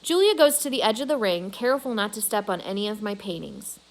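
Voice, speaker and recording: A woman's voice: mid-range voice, lively intonation, a little fast. A woman reading a children's novel aloud as a storyteller.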